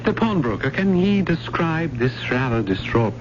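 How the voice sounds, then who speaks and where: An actor playing an obsessed, volatile college professor in old-time radio drama, erudite and courteous but halting when cornered. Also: male voice, on the high side, very animated. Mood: guarded unease. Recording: noisy, dull old radio drama recording.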